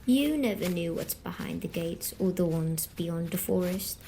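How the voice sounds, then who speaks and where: A woman playing a gentle, teasing sleep paralysis demon, telling stories softly and soothingly in an ASMR roleplay. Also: fairly low pitch, expressive, a little hiss or hum. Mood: eerie, hushed. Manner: slow, soft, deliberate, comforting.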